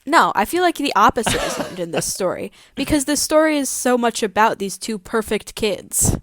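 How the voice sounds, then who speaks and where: A woman's voice: a little high, animated, normal speed, a bit bright, no room sound. A woman co-hosting a casual discussion of a book, talking conversationally. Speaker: podcast co-host.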